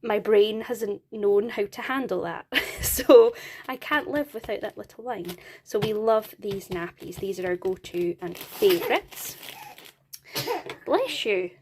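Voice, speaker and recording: A Scottish woman chatting at home in a casual vlog, upbeat and friendly in her delivery. Her voice sits at an ordinary middle pitch. She talks things through easily, with the odd little laugh and "um" along the way. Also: a little slow, background noise. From Scotland. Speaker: parenting vlogger. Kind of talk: casual product walkthrough.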